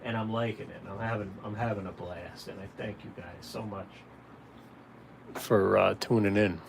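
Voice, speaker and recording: A man's voice: fairly low pitch, steady tone, moderate pace. A man giving a casual, folksy drawing tutorial on a livestream, talking directly and encouragingly to viewers. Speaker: caricature artist hosting a drawing livestream. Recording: clearly noisy room ambience.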